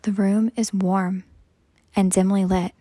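Female meditation guide: hushed and breathy, unhurried phrases with long pauses, level delivery. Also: mid-range voice, flat delivery, no echo, studio quality. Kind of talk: slow guided meditation narration.

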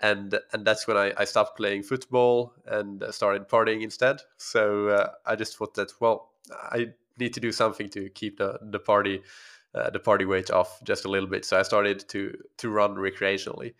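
Hesitant low-voiced man from Finland. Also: little pitch movement, moderate pace, fairly bright tone. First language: Swedish. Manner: hesitant, repeats words as he starts sentences. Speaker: podcast guest, triathlon coach. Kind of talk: podcast interview. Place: his home apartment. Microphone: home podcast microphone.

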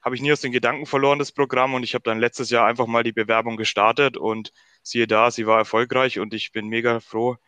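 A man's voice: mid-range voice, little pitch movement, quick pace, few pauses, pushed and bright, clean tone, no room sound. A man in his early twenties from Bavaria, answering conversationally as a podcast guest. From northern Bavaria, Germany.

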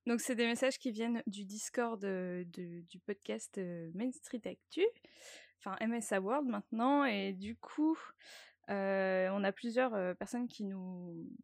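A woman co-hosting a casual podcast, chatty, often pausing to search for her words. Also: mid-range voice, gravelly, a little mellow, dry recording, clean studio sound. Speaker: podcast co-host.

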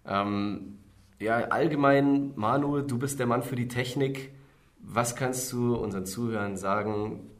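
A man in his twenties hosting a casual podcast, friendly and steady as he presents. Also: medium pitch, measured pace. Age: 20s. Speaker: podcast host.

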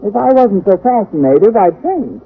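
An actress playing a wry, quick-witted American schoolteacher in an old-time radio comedy, with deadpan, sarcastic delivery. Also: female voice, medium pitch, slightly rough. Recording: tinny, noisy vintage radio recording.

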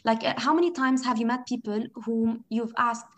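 Lebanese lady thinking out loud in an interview, a bit high voiced, lots of "you know". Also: normal speed. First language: Arabic. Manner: reflective, thinks aloud, frequent "you know" and restarts. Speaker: interview guest. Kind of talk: conversational interview.

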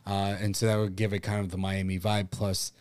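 A man's voice: fairly low pitch, flat delivery, a little fast, a little mellow, smooth, dry recording. A man co-hosting a podcast in casual, bantering chat, with a self-deprecating manner. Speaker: podcast co-host.

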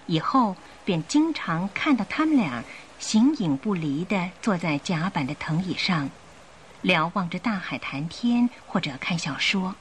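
A woman's voice: lowish voice, big pitch swings, measured pace, slight background noise. A woman narrating a scripted radio drama in a theatrical storytelling manner.

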